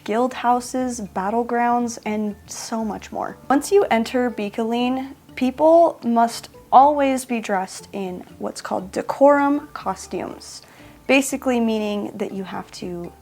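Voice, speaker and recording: A woman's voice: a little high, darkish tone. A woman vlogger talking casually to camera, chatty and self-mocking, often laughing in the middle of a sentence.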